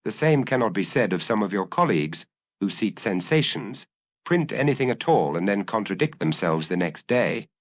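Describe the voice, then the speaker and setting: A man's voice: on the low side, very animated, moderate pace, dry recording, studio quality. A man reading a detective story aloud as a scripted audiobook narration.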